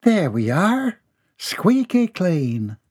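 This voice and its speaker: A man voicing a fussy farm wife and mother, shrill and scolding. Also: huge pitch swings, extremely slow, darkish tone, breathy edge, full character voice.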